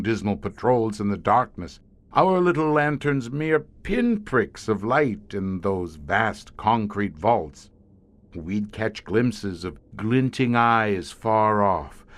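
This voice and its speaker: An actor playing a haunted, learned middle-aged scientist who guards monster-ridden tunnels, speaking in a grave, florid, theatrical way for an audio horror drama. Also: male voice, medium pitch. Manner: grave, florid, dramatic storyteller with weighty pauses.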